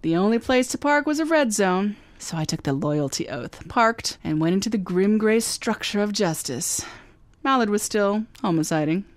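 An actress playing a wry, confident private detective who narrates a radio drama, speaking briskly. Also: female voice, medium pitch, expressive, lightly acted. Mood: wry narration. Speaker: narrator and lead.

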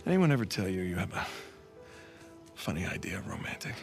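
An American man voicing a weary, sensible adventurer in a video game cutscene, with a dry, casual, halting delivery. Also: low-pitched, sing-song, gravelly, full character voice. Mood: wry. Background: music.

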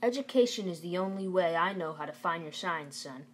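A woman's voice: lowish voice, animated, fairly quick, husky. A woman reading aloud the part of a stern, plain-spoken father who lectures his son in a firm, folksy way. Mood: earnest, lecturing. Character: stern, plain-spoken Southern father, a working man urging schooling.

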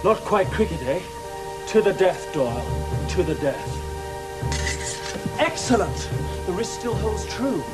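A proud, unhinged British detective declaims in a high voice for a radio drama. He is grandiose and taunting, with music clearly behind him. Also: big pitch swings, a little slow. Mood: mocking. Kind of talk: studio radio drama acting.

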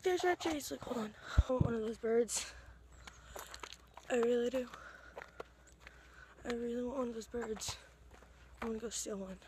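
Teen girl vlogger, high voice, raspy, arm's-length phone mic, noisy with traffic behind. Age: teens. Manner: chatty, giggly, talks to camera. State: raspy voice after a game. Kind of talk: casual vlog chat. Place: outdoors by a road, then a classroom, then a car at night. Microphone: phone held at arm's length.

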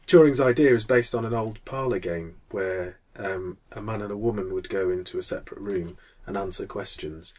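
A man's voice: on the low side, moderate pace, hardly any pauses, darkish tone. A man in his thirties with a Mancunian accent, hosting a casual podcast chat. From Manchester, England. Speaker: podcast host.

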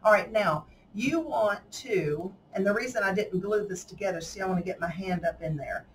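A woman's voice: mid-range voice, moderate pace. Southern woman host, easygoing craft tutorial, a bit off-mic with faint room hum. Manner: chatty, folksy, laughs often. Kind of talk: casual hands-on craft demonstration. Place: indoor craft table. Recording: slightly distant mic, faint room noise.

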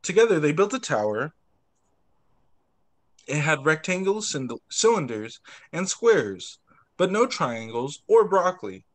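A young man reads a picture book aloud as a teacher would for small children. His voice sits a little high and swings widely in pitch. He narrates expressively and drops in short asides. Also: sing-song, measured pace, long pauses. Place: indoors, on a video call.

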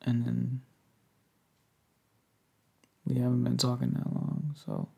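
A young man speaks softly and hesitantly in an intimate, breathy ASMR boyfriend roleplay, tender and low-key. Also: completely flat delivery, takes it slowly. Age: young adult. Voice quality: soft, breathy, gravelly. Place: indoors, lying on a bed in a bedroom.

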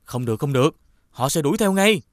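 A man voicing an earnest young prince in an animated fairy tale, speaking expressively. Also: fairly high pitch, quick pace, dry recording, studio quality, natural voice. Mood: doubtful, protesting.

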